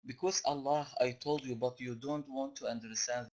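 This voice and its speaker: A man calls into a debate. He speaks at a middle pitch. His delivery is slow, quiet and halting. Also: steady tone. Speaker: caller.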